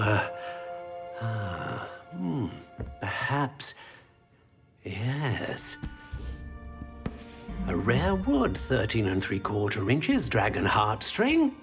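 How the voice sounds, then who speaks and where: An actor playing an elderly, eccentric wandmaker in a video game, gentle and fussy, musing and murmuring to himself. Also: male voice, mid-range voice, heavily acted. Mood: fussy, searching, then flustered. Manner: murmuring hesitations, musing aloud, exclaiming. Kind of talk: theatrical video game cutscene acting. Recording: music behind the voice.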